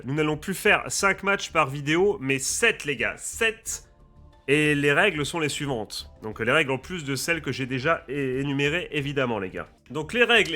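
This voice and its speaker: A man with a slight head cold, casually explaining a game challenge's rules to his viewers in a lively, chatty way, with faint music playing behind him. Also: fairly high pitch. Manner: lively, addresses viewers as friends and guys. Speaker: gaming YouTuber and streamer.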